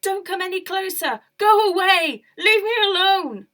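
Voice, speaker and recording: A woman voicing a frightened village woman in a storybook tale, chanting her worries in a loud, anxious sing-song. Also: extremely high pitch, slow, recorded from a distance, pitched above the performer's own voice. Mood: terrified.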